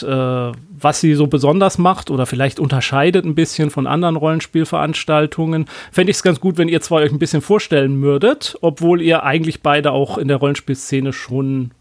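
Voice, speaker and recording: A man hosts a relaxed podcast chat in a slightly raised voice. He talks loosely, with frequent fillers and restarts. The sound is dry and close. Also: fairly high pitch, moderate pace, few pauses, no room sound. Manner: chatty, hesitant with many fillers. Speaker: podcast host. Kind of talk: casual podcast conversation.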